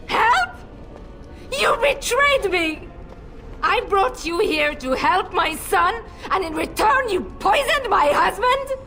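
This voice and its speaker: A woman's voice: very high voice, sing-song, slow pace, pushed and bright, soft background music, exaggerated voice, rough recording. A woman voicing a noble lady in a video game, a desperate mother who pleads, rages and resolves to protect her son. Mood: furious. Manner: pleading, emotional, shifts to grave resolve. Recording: game score behind.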